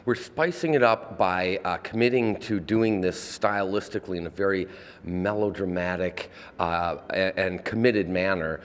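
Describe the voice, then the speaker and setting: Man, low deep voice, theater director being interviewed, halting wordy explanations, reverberant hall sound. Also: smooth.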